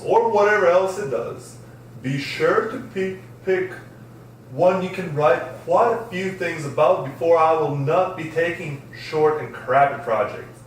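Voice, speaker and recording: A man's voice: high voice, a little hiss or hum. A man reading the part of a strict science teacher at a script table read, in an echoey room with a distant mic. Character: strict male science teacher addressing his class.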